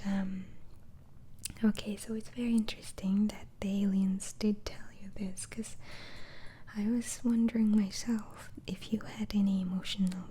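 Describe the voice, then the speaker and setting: A woman playing a gentle, inquisitive doctor in an ASMR roleplay, speaking in a soft breathy whisper with halting, murmured questions. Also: mid-range voice. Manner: halting, hesitant, murmuring acknowledgements. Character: gentle, inquisitive female doctor interviewing a mental patient. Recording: faint background noise.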